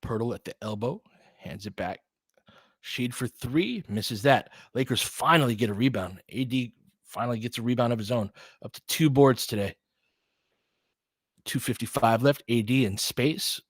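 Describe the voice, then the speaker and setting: A man's voice: medium pitch, normal speed, breathy edge, no echo, clean studio sound. A man giving conversational, partisan basketball play-by-play commentary, reacting openly to the action as it unfolds.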